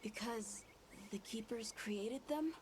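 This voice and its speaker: A voice actor playing an earnest, anxious young village boy in a video game cutscene, halting and pleading. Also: mid-range voice, slight background noise, natural voice. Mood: hesitant, anxious.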